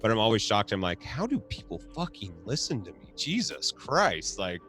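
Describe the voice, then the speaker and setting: A man's voice: on the low side, sing-song, moderate pace, fairly bright tone, soft background music. A man hosting a casual video chat, laid-back and laughing often, swearing now and then. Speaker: host.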